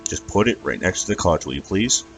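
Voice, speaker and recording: A man voicing a shy, gentle female pony in a story, speaking in a hesitant, apologetic, polite way. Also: deep voice, lively intonation, quick pace, soft background music.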